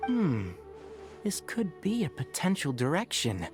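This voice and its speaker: A man's voice: on the high side, huge pitch swings, unhurried. A man voicing an earnest, worried young tutor in video game dialogue, speaking politely and expressively. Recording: game music behind the voice.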